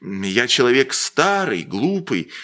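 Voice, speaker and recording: A man voicing a poor old organ grinder who speaks slowly with quiet dignity. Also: big pitch swings, full character voice. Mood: dignified. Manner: slow, dignified, low mutter. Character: poor old male organ grinder, humble but proud.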